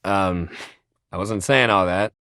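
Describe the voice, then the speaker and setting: A man's voice: low-pitched, takes it slowly, pushed and bright, dry recording, clean studio sound, exaggerated voice. A man voicing a video game character, a living shuttlecock who is an upbeat, laid-back young sports coach with slangy, encouraging, bro-ish talk. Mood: downcast, hesitant.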